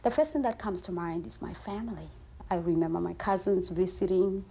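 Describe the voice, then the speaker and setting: A woman's voice: lowish voice, brisk, dark tone, slight background noise. A woman from Africa, a Sotho speaker who talks in an articulate, thoughtful way with small fillers. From South Africa. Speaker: librarian, interview guest.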